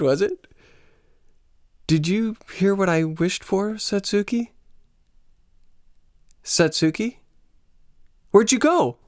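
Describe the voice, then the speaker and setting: A man's voice: high-pitched, darkish tone, no echo, studio quality, full character voice. An actor playing a lovelorn, self-mocking American man on an island vacation in a radio play, with an American accent. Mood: playful, then frustrated.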